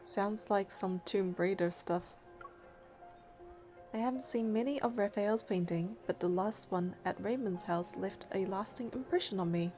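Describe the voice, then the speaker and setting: A woman acts as a young heroine in a story game, practical and skeptical. Her voice is dark and mellow with a dry, matter-of-fact delivery, over faint music. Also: mid-range voice, a little slow, natural voice. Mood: measured, curious. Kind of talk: English-dubbed game acting with first-person narration.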